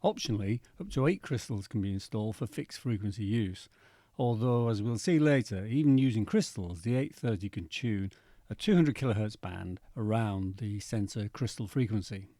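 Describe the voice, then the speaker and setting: A man narrating an instructional equipment demonstration in a measured, methodical way. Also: fairly low pitch, mellow, dry recording, studio quality. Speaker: presenter demonstrating vintage radio equipment.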